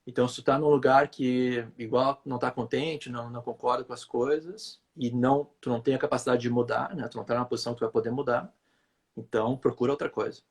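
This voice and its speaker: A man from Brazil talks through his work as a physiotherapist in a relaxed interview. His voice is husky, and he hesitates often, repeating words as he explains at length. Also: mid-range voice, fast talker. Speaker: physiotherapist guest. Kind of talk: conversational interview answer.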